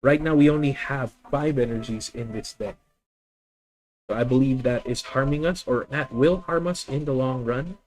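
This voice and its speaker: A man's voice: medium pitch, normal speed, darkish tone, low-quality recording. A man with a Filipino accent streaming a card game, methodically thinking aloud and correcting himself as he plans each move. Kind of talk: live deck-test commentary.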